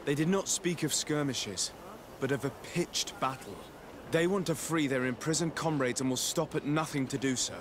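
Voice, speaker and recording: A man playing a calm, courteous noble envoy in a video game, speaking in a measured, formal, conciliatory way. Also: mid-range voice, noisy background, natural voice. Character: calm diplomatic male legate, courteous young noble envoy. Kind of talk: scripted video game cutscene dialogue.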